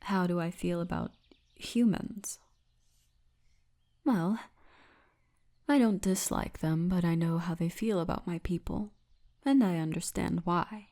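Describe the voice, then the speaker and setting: A woman voicing a friendly female orc tour guide in an intimate ASMR roleplay, speaking softly and often breathily. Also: fairly low pitch. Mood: gentle, earnest. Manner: soft, intimate, unhurried storytelling, often breathy. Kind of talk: ASMR roleplay, addressed to the listener.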